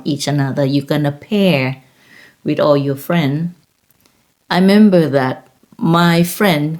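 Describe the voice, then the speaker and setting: A woman's voice: deep voice, a little mellow. A woman in her fifties from Thailand with a Thai accent, telling personal stories in a rambling, laughing way. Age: 50s. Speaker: vlogger. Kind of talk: casual personal storytelling.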